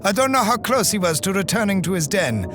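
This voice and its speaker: An actor playing a wry, sharp-tongued guide in an audio drama with music under him. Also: male voice, sits high, very animated, fairly quick. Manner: wry, cutting.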